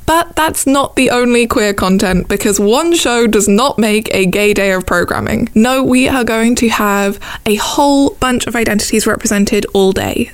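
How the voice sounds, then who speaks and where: A woman with a fairly high voice presents an enthusiastic radio promo segment. Her delivery is upbeat and emphatic, leaning on repeated words for stress. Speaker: festival curator and presenter.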